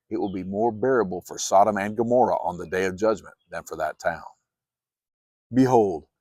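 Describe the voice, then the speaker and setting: A man reading scripture aloud as a solemn audiobook, with deliberate, emphatic and measured phrasing. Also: medium pitch, darkish tone, no echo, clean studio sound. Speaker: Bible reader.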